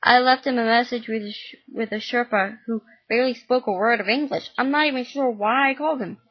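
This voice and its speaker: A woman reading puts on an agitated mother, pitched up and loud as she yells at her son in a harsh, exasperated way. The tone is slightly husky, and the lo-fi recording dulls the sound. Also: animated, moderate pace. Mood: bitter. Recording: dull lo-fi recording.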